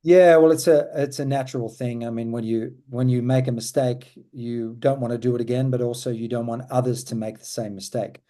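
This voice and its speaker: Dari Australia, pria bersuara lembut hangat. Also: male voice, medium pitch, fairly even intonation, brisk, hardly any pauses, dark tone. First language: German. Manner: thoughtful, repeats words as he gathers thoughts. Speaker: guest musician. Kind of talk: casual interview chat.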